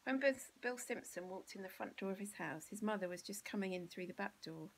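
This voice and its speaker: A woman's voice: medium pitch, fairly quick, a little hiss or hum. A woman with an English accent reading a children's storybook expressively, as a teacher would to pupils.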